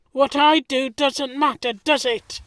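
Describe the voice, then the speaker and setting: A woman's voice: high voice, fairly bright tone. A woman playing a sensitive, earnest young male gardener in an audio play. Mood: hurt.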